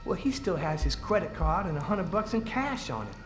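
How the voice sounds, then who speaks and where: An actor playing an easygoing, wisecracking young police detective in a video game, with a casual, slangy American delivery. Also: male voice, high-pitched, very animated, a little fast. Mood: casual, wry. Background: game music.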